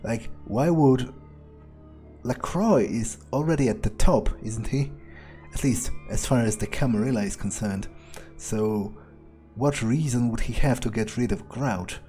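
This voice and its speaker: A man giving in-character game commentary, musing aloud in a halting, sardonic and profane way. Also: medium pitch, mellow, soft background music. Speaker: gamer playing in character.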